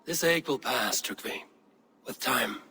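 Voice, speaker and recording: An actor playing a steady, commanding Viking warrior leader in a game cutscene. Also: male voice, mid-range voice, unhurried, a little hiss or hum. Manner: calm, commanding. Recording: game audio with ambient background.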